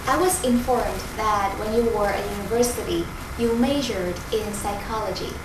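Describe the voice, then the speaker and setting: A woman's voice: mid-range voice, moderate pace. A woman interviewer asking formal, prepared questions. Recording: echoey noisy room, mic distant.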